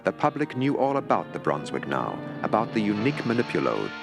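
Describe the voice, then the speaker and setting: Low-voiced guy narrating like an old newsreel, swingy delivery, music clearly underneath. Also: huge pitch swings. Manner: measured, formal, newsreel-like. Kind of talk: scripted documentary narration. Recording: narration over a clear film score.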